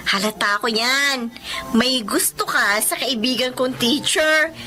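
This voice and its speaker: A playful young sister in a Filipino radio drama. Her voice is high and bright with a teasing perkiness, over faint music. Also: slow pace, full character voice. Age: young adult. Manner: perky, teasing.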